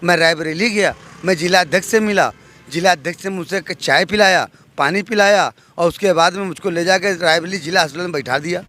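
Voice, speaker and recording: A man's voice: high-pitched, fairly quick, sharp tone. A middle-aged man, speaking emphatically and bitterly as an interviewed party worker. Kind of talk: aggrieved street interview.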